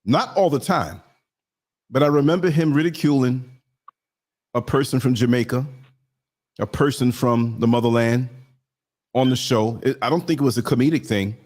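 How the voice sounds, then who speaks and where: A man's voice: medium pitch, moderate pace, long pauses, darkish tone. A man hosting a live talk show, speaking earnestly and repeating words as he pushes his point. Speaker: host. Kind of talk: casual chat.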